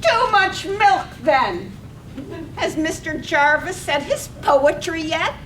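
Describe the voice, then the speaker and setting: A querulous, bossy village wife, acted by a woman in a play for voices. Her pitch is high and swoops widely with disapproval. It is picked up from a distance with some echo. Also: very animated, takes it slowly, fairly bright tone, heavily acted. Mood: querulous, scornful. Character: carping, nosy, bossy wife gossiping spitefully. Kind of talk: poetic radio play read aloud on stage. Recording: echoey room, distant mic, faint rumble.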